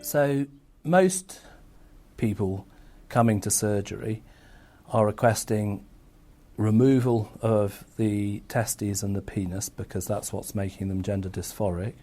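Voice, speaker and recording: A man, a surgeon, explaining a procedure in a measured, matter-of-fact way. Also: lowish voice, fairly even intonation, dark tone, soft background music.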